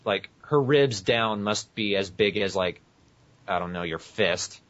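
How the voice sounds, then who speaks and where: Low-voiced man, echoey muffled recording. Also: a little slow, fairly bright tone. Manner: stumbles over words and corrects himself. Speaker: podcast co-host. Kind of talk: reading fan fiction aloud, with casual asides. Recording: muffled, echoey lo-fi podcast audio.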